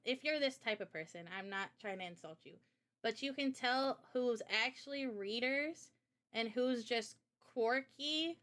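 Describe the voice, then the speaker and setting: A woman streamer hosting a casual live chat, talking in an animated, opinionated way with rambling asides. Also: fairly high pitch, clear, resonant tone. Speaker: streamer and host.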